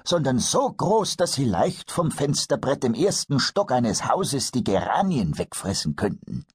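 A man voicing a kindly old master carpenter in a storybook reading, patient and gently explaining. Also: mid-range voice, very animated, unhurried, slightly rough, dry recording, studio quality, pitched above the performer's own voice, heavily acted. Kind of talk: storybook character voice.

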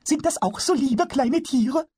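A man voicing a small, mischievous kobold in a storybook reading, excitable and childlike. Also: extremely high pitch, a little slow, no room sound, studio quality, pitched above the performer's own voice. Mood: puzzled. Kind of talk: storybook character voice.

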